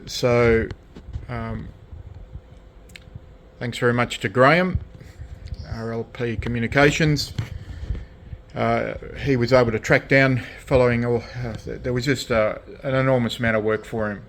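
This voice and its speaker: A man with an Australian accent talks through a piece of restoration work in a casual show-and-tell way. His phrasing is hesitant and comes in short pieces, at an ordinary middle pitch. There is noticeable background noise on the recording. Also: fairly even intonation, a little slow, fairly bright tone. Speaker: radio restorer presenting own collection. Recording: clear background noise, hobby video.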